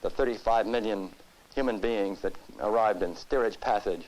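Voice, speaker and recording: A man from the United States giving serious interview commentary, speaking deliberately in formal, rhetorical phrases. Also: lowish voice, a little mellow, low-quality recording. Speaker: expert interviewee.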